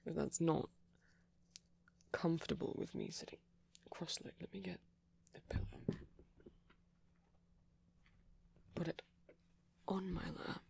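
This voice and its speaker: A woman playing a gentle, doting vampire boyfriend in a soft-spoken romantic ASMR roleplay, tender and lightly teasing, often whispering close to the microphone. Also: mid-range voice, fairly even intonation, unhurried, creaky, pauses often, breathy edge, dry recording, exaggerated voice. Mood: fondly teasing.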